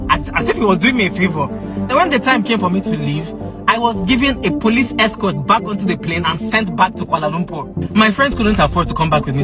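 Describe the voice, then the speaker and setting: A male student from Africa telling a personal story, animated, acting out the exchanges he quotes. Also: sits high. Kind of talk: storytelling to camera.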